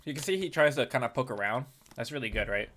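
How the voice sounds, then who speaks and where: Guy with a cold, kinda nasal, sharp bright voice, chatting through a game replay and clearing his throat a lot. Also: mid-range voice, expressive, fast talker. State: sick, slightly nasal. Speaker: YouTube host analyzing a game replay. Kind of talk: casual game analysis commentary.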